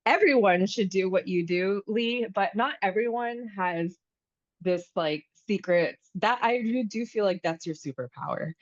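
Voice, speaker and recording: A woman in her thirties from the United States, hosting a podcast in a relaxed, chatty way, with lots of fillers and restarts. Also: medium pitch, measured pace, dry recording, lo-fi sound. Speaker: podcast host. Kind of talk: casual conversation.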